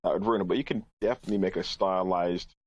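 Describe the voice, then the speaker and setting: A man's voice: deep voice, quick pace, a little mellow, breathy edge. A man discussing his opinions casually as a podcast panelist, on lo-fi voice chat audio.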